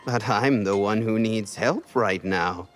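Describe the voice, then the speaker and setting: An actor playing a beleaguered, earnest fort builder in a video game, lamenting and asking for help. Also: male voice, on the low side, slow pace, soft background music. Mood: troubled, pleading. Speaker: game character giving quests. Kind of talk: scripted video game dialogue.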